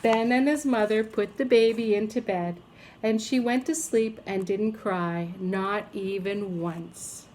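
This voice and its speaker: Woman, storybook narration, very slow pace, expressive, drawn-out repetitions, faint background noise. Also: medium pitch. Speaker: teacher reading aloud to children.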